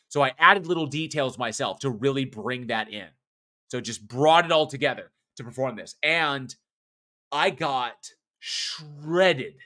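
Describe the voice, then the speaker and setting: A man in his forties with a bright, clear-toned voice at a middling pitch, co-hosting a horror film podcast. He talks with passion and rambles, restarting sentences and throwing in the odd curse as he reviews a film. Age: 40s. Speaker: podcast co-host. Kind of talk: casual film review chat.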